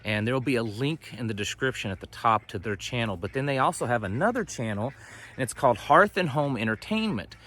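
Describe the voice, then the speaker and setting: A man's voice: medium pitch, animated, a little fast, a bit bright. A middle-aged American man hosting a homestead vlog, chatting casually and folksily to camera, rambling and trailing off mid-thought. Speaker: host of a homesteading and prepping channel. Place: outdoors on a homestead, ducks nearby.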